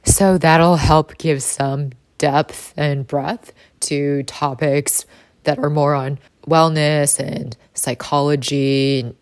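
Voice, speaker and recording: A woman in her thirties speaks in a low, husky voice, relaxed and chatty as she vlogs. The sound is dry and close, with no room around her. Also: slow, no room sound. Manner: relaxed and chatty, with light laughs. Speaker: vlogger and trader. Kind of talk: casual vlog narration.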